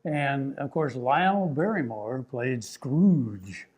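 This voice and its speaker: An older man co-hosting a show, reminiscing in casual chat with a halting, repetitive way of talking. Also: mid-range voice, lively intonation, darkish tone, slightly rough. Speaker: TV show co-host.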